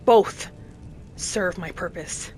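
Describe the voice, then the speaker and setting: A woman's voice: fairly low pitch, slow, a little mellow. Earnest woman roleplaying over music. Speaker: gameplay roleplayer. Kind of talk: in-character roleplay commentary. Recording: game music behind the voice. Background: game music.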